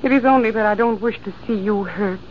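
An actress playing a gentle, anxious young woman in a 1940s radio drama, soft and pleading, on a dull, hissy old recording. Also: mid-range voice, fast talker, natural voice.

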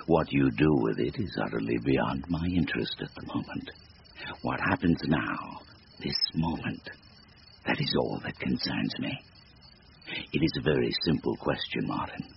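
An actor playing a sinister supernatural bargainer in a radio drama, gravelly, with a deliberate, formal, taunting delivery. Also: male voice, very deep voice, exaggerated voice. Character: sinister supernatural stranger, silky, devilish bargainer, bored and mocking. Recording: noisy, muffled radio drama recording.

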